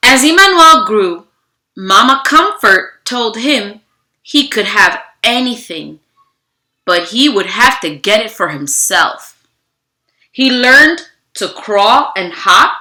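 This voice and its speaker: A woman with a fairly high, bright voice speaks as a teacher reading aloud to children. She takes her time and is theatrical and expressive, addressing her listeners directly. The room adds a noticeable echo. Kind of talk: children's read-aloud with animated greeting. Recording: roomy, echoing room sound.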